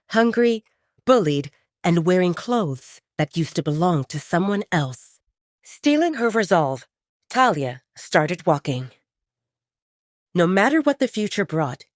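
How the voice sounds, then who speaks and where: Expressive woman narrating an audio story. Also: on the low side, dry recording, clean studio sound.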